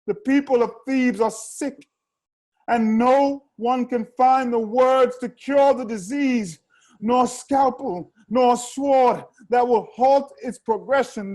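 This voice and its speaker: A terrified tragic chorus in prayer. The voice is extremely high for a man and moves very slowly. It pleads with fervent, incantatory declamation, as in a dramatic play reading. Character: terrified, pleading Theban chorus praying to the gods.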